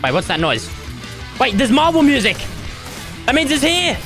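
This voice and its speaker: A young man commentating his own gameplay, loud and dramatic, with squealing yelps and groans, his voice starting to go hoarse. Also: very high voice, big pitch swings, moderate pace, music in the background. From Australia. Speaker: gaming YouTuber.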